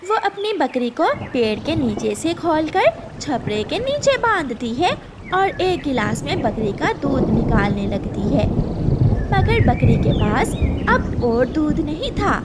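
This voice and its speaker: A woman's voice: sits high, big pitch swings, slow pace, heavy background noise. A woman reading scripted narration for a children's cartoon story.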